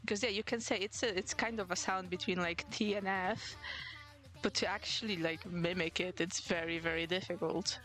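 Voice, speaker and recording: A woman's voice: fairly low pitch, steady tone, normal speed, fairly bright tone, soft background music, rough recording. Chatty Polish-accented young woman streamer. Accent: Polish, mixed with British. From Poland. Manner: chatty, laughs often, restarts words. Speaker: livestreamer. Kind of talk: casual chat while drawing on stream.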